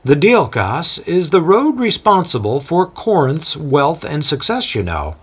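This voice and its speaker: A man's voice: fairly high pitch, wildly swooping pitch, unhurried, breathy edge, voice pushed up, full character voice. A man voicing a proud, pushy ancient city guide who boasts and insists.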